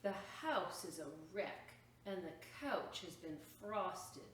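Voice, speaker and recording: A woman reading a children's picture book aloud as a storytime reader, expressive and exclaiming, pausing to point out the pictures to children. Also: on the low side. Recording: roomy echo, distant mic, faint noise.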